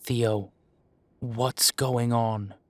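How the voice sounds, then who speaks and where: A blunt, guarded young male werewolf acted by a man in an audio drama. His voice is mid-pitched and recorded very dry, with a questioning, defiant edge. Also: steady tone, very slow, no echo. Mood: frustrated, defiant. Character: defiant, bewildered young werewolf, reluctant pack leader. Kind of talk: audio roleplay drama acting.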